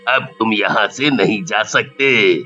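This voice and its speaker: A man's voice: medium pitch, sharp tone, lo-fi sound. A man voicing a menacing male forest ghost in a horror cartoon. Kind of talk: cartoon horror story dialogue.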